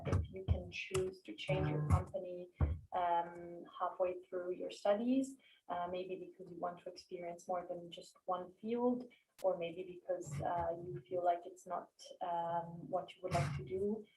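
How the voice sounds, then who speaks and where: A woman's voice: on the low side, a little mellow. A woman asking a prepared question with many hesitant fillers. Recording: distant mic, faint noise.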